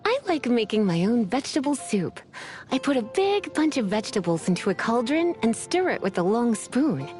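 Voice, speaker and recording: A woman's voice: on the high side, big pitch swings, moderate pace, a little mellow, soft background music. An actress voicing a teasing, languid witch in a video game, flirtatious and theatrical. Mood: playfully spooky. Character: teasing, sultry witch librarian, playful and languid. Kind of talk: scripted video game voice lines.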